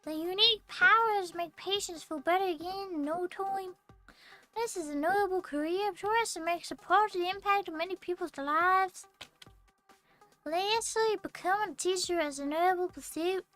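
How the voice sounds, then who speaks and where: Role: a very high, bright-voiced magic teacher in a put-on Scottish accent, read by a woman streamer. Formal, slow lecture delivery. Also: soft background music. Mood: lecturing. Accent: mock Scottish. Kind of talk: reading a visual novel aloud.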